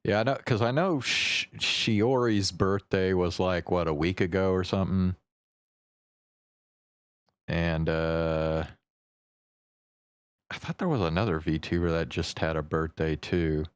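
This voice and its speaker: A man livestreaming in a casual, halting, rambling way, swearing offhandedly as he chats. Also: deep voice, clear, resonant tone, no echo. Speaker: live streamer.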